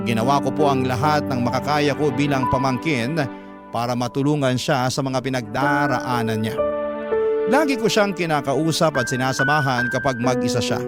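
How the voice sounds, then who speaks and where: A Filipino man narrating a sentimental radio story as a young adult storyteller. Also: medium pitch. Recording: loud music bed under narration.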